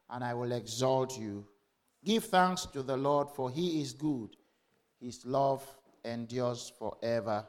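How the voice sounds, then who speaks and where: A man speaking as a church minister leading a service, with measured, formal and deliberate phrasing. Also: medium pitch. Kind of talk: church welcome, announcements and scripture reading.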